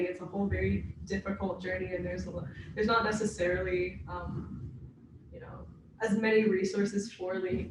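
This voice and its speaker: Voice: mid-range voice, background noise. A young adult who grew up in the United States, co-hosting a podcast in thoughtful, hedging, self-deprecating chat. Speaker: podcast co-host. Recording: very echoey, distant from mic.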